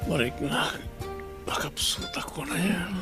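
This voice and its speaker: A man with an Ilocano accent playing a weak, loving, gravely ill husband in a radio drama, breathy and halting. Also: high-pitched, animated, loud music behind the voice. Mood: weak, aching. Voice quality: breathy, strained. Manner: halting, gasping phrases.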